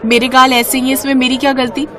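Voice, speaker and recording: A woman's voice: high voice, level tone, fast talker, a crowd in the background, noisy background, voice pushed up, close to an everyday voice. Woman acting a meek young daughter-in-law. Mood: plaintive. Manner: polite, plaintive.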